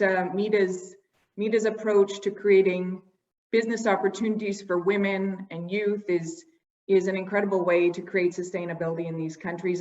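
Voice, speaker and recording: A woman's voice: medium pitch, roomy sound, distant mic, muffled recording. A woman hosting an online panel, thanking the speakers and summing up what they shared. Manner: appreciative, wraps up speakers. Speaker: host.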